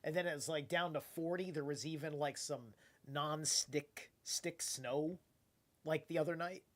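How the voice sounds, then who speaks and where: A man's voice: fairly high pitch, fairly even intonation, a little slow. A tired man with a stuffed-up nose, rambling loosely in casual late-night stream chat and swearing now and then. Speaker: live streamer.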